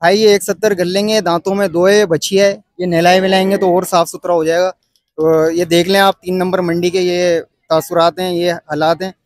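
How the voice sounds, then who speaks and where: A man in his thirties from Pakistan with a Karachi Urdu accent, filming a casual walkthrough of an outdoor cattle market on his phone and pressing sellers for lower prices. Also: little pitch movement, normal speed, dry recording. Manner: chatty, pushes for a lower price. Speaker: vlogger.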